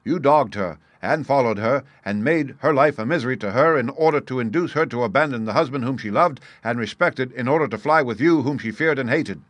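A man's voice: mid-range voice, expressive, fast talker, a bit bright, no room sound. A man voicing a masterful, composed detective in an audiobook, speaking in a precise, formal and authoritative way. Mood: stern. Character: masterful English detective, composed, stern and assured. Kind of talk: audiobook character dialogue.

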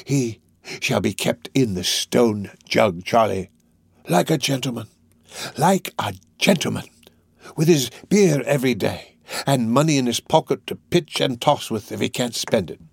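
A man plays a crafty old master of thieves from a storybook. He coaxes and wheedles, stretching his words out, and can turn sharp-tempered. His pitch swoops about in a close, dry recording. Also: lively intonation, measured pace, gravelly, breathy edge, dry recording, full character voice. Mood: soothing, coaxing. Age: older. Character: wily old male criminal gang master, wheedling, quick-tempered.

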